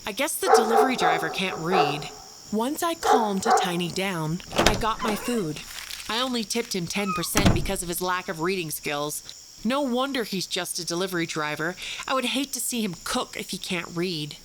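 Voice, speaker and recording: A woman's voice: medium pitch, lively intonation, moderate pace, very noisy, natural voice. An actress playing an anxious woman who recounts a frightening night in detail in an audio drama, with a snippy edge. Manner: detailed, snippy, increasingly fearful recollection. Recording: prominent music under the voice. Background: music.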